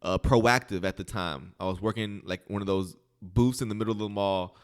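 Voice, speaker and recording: A man's voice: lowish voice, brisk, clear, resonant tone. An American man from southern Illinois and Kentucky, telling stories as a podcast guest in casual, informal talk. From southern Illinois and western Kentucky, United States. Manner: informal, peppered with "like" and "you know". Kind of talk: casual conversational storytelling.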